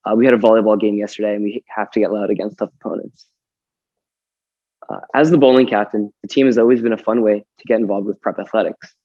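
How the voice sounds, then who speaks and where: Raspy teen guy giving a polite welcome speech, kinda low and dull lo-fi. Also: steady tone, fast talker, dry recording. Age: late teens. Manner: formal and polite. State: slightly raspy. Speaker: student team captain. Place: school gym.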